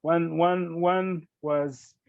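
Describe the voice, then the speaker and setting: A man from Rwanda, speaking earnestly and gratefully as a podcast guest, with halting restarts. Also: a little high, fairly even intonation, gravelly, no echo, phone-quality sound. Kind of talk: podcast interview.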